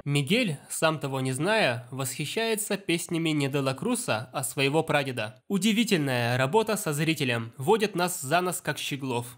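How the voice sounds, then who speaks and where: A man giving scripted voice-over commentary on an animated film, explaining scenes with enthusiasm. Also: on the high side, normal speed, hardly any pauses, over music. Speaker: film review blogger.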